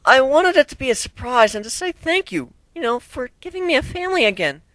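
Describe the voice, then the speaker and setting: A man voicing a meek, excitable little girl dragon in a childlike way for a story reading. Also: extremely high pitch, fairly quick, dry recording, pitched above the performer's own voice, exaggerated voice. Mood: shy, grateful.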